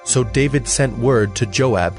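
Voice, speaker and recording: Man narrating bible story, medium pitch, formal and measured, music playing under him. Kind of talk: dramatized scripture narration.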